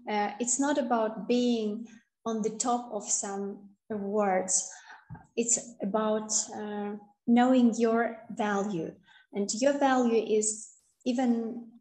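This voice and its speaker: Woman from Kazakhstan, webinar presenter: slow, halting delivery over a video call, echoey room. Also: mid-range voice, mellow. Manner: slow, hesitant, with frequent fillers.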